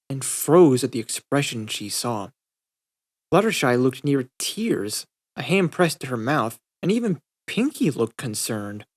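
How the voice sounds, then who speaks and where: A man narrating a scripted story as an audiobook reader. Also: mid-range voice. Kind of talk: scripted fan-fiction narration.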